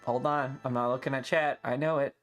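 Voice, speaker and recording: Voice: mid-range voice, steady tone, a little fast, lo-fi sound, soft background music. A streamer chatting casually and live, rambling and opinionated, swearing freely and complaining with playful exaggeration. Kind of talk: casual livestream chat.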